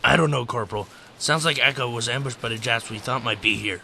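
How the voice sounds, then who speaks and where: A man's voice: mid-range voice, fairly quick, gravelly, sharp tone, a little hiss or hum. A man playing a stern, commanding American army captain in an amateur World War II radio drama, speaking in dry, clipped orders. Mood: grave.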